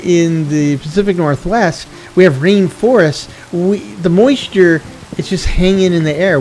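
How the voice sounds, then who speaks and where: A middle-aged man talks in a loose, meandering way, often stopping to correct himself. His voice sits a little high for a man. Faint music plays quietly behind him. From USA. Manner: meandering, self-correcting, reflective. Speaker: video game streamer. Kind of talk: rambling casual chat.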